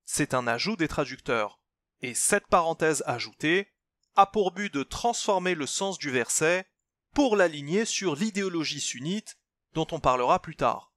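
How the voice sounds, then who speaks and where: A man's voice: on the high side, fairly bright tone, no room sound. A man giving a scripted, step-by-step explanation as a presenter, didactic and emphatic, speaking directly to the listener. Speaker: presenter of a religious commentary channel. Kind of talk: scripted explanatory narration, step-by-step textual analysis.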